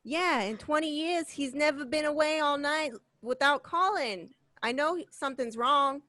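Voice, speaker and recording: Woman acting a high, bright, distraught wife. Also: measured pace, no room sound, studio quality. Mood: worried, distraught. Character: anxious, distraught wife of a missing man. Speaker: amateur actor in a remote table read. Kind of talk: radio play table read.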